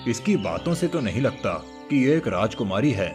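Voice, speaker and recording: A man's voice: medium pitch, sing-song, moderate pace, close to an everyday voice. A man voicing a patient, fatherly old cowherd grandfather in a cartoon story. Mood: puzzled. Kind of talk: Hindi cartoon moral story dialogue. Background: music.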